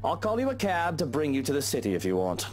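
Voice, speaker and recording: A man playing a haughty, sharp-tongued wealthy young lord in an imperious, theatrical way for a visual novel game. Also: fairly high pitch, huge pitch swings, fast, soft background music. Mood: curt.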